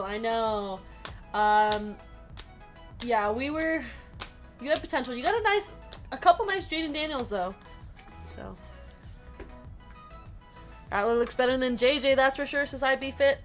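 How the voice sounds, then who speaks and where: A woman's voice: sits high, unhurried, long pauses. A woman hosting a live card break in casual chat, laughing often as she reads out players and numbers. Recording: music playing behind.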